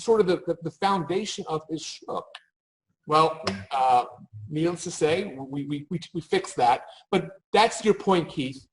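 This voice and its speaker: High-pitched man, emphatic and anecdotal. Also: low-quality recording. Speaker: marketing expert on a panel. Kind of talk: unscripted panel conversation.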